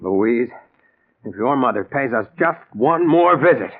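A man's voice: mid-range voice, lively intonation, a little slow. An actor in a 1940s radio drama playing a mild, nervous, exasperated suburban husband in his thirties. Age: 30s. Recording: narrow, tinny old radio recording with music.

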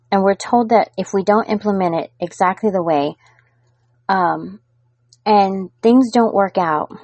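A woman's voice: medium pitch, gravelly, a little mellow, dry recording. A middle-aged woman hosting a solo podcast, talking to listeners in an earnest, encouraging way. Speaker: podcast host. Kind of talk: solo motivational podcast talk.

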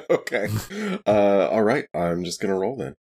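A man's voice: fairly low pitch, expressive, unhurried, a little mellow. A man playing a tabletop game, talking in an easygoing, matter-of-fact way. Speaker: player. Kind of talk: casual tabletop game chat.

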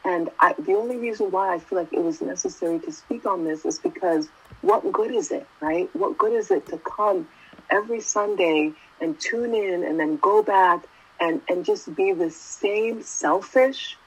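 A woman's voice: fairly low pitch, big pitch swings, normal speed, slightly rough, highs cut off. A woman in her twenties giving a casual sermon, talking conversationally and often asking "right?". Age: 20s. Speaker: youth preacher.

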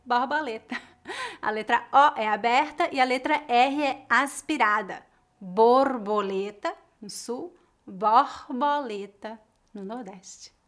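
Brazilian woman teaching, são paulo accent, slightly high voice, calm and clear. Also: takes it slowly. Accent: São Paulo Portuguese. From Northeast Brazil. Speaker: language teacher. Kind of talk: scripted educational narration.

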